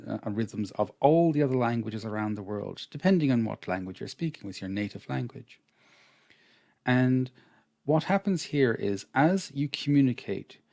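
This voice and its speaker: A man with an Irish accent, an English teacher explaining a lesson over slides in a measured, patient manner. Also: medium pitch, a little mellow, no room sound, clean studio sound. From Ireland.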